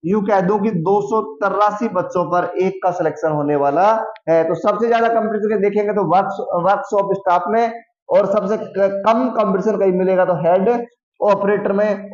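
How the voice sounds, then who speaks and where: High-pitched man lecturing, echoey. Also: a little mellow. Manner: repeats key figures and phrases, asks and answers own questions. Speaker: exam coaching teacher and channel host. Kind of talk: explanatory lecture to online students. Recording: echoey room, dull muffled sound.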